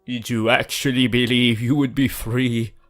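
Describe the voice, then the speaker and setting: A man voicing a possessive, sinister male vampire in an ASMR roleplay, theatrical and menacing, with a breathy voice that often drops toward a whisper. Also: medium pitch, flat delivery, soft background music, voice pushed up, close to an everyday voice. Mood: gloating, commanding. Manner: theatrical, slow, menacing, archaic phrasing.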